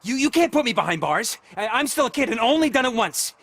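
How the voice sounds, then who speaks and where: A squeaky, stammering boy punk. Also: sing-song, quick pace, fairly bright tone, dry recording, exaggerated voice. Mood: nervous bargaining. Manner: stammering, whiny, defensive. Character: cocky but frightened juvenile vandal boy. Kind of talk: video game cutscene acting.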